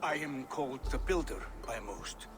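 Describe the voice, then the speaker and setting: Man as courteous, cunning builder, animated pitch. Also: normal speed, music in the background, close to an everyday voice. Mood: humble, guarded. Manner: deferential, eloquent, formal. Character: courteous, cunning, humble-seeming wandering master builder. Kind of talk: video game cutscene acting.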